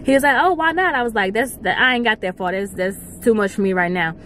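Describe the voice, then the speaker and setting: A woman's voice: mid-range voice, quick pace, slight background noise. A woman, chatting and telling a story in a parked car, talking to a dashboard camera. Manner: animated storytelling, talks with her hands. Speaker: vlogger. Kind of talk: casual car chat.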